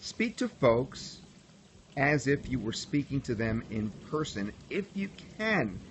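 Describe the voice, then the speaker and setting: A middle-aged man with a nasal voice and an American accent, giving relaxed, conversational broadcasting tips in a livestream tutorial. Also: medium pitch, slow, a little hiss or hum. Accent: General American, traces of New York. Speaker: broadcasting coach. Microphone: phone camera.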